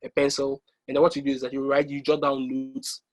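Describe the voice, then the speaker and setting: A young man from Ghana presenting an online exam-prep workshop over slides, pausing often with ums and restarting his sentences. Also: mid-range voice, monotone. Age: young adult. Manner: hesitant, many ums and restarts.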